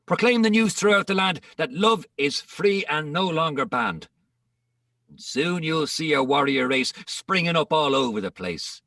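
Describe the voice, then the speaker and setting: Man performing, boastful old pleader, highish forceful voice, animated declamatory comic verse, dry recording. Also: creaky, no echo, far from the mic, heavily acted. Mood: grandly pleading. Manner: declamatory, rhythmic, emphatic. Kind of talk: dramatic reading of comic verse.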